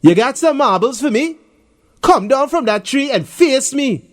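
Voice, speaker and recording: A man's voice: very high voice, big pitch swings, normal speed, fairly bright tone, pitched above the performer's own voice, exaggerated voice. A man voicing a chubby, cocky boy who is a marble champion, boastful and swaggering. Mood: challenging.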